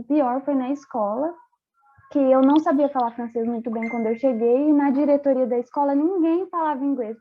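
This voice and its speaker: Woman, young adult, from Brazil, chatty and laughing, high pitch, thin phone-line audio. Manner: chatty, laughs often, many fillers. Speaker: interview guest, former exchange student. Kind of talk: casual interview chat.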